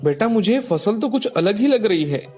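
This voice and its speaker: A man playing a trusting, good-natured farmer father in an expressive, earnest storybook style. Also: high voice, normal speed, soft background music. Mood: earnest, gentle. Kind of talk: dramatized Hindi folk tale dialogue.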